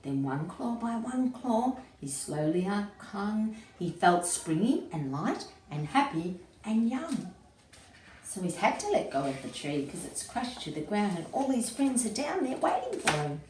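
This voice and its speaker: A woman's voice: medium pitch, a little mellow. A woman reading a picture book aloud to young children, expressively, with simple explanatory asides. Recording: roomy, echoey, distant mic with faint noise.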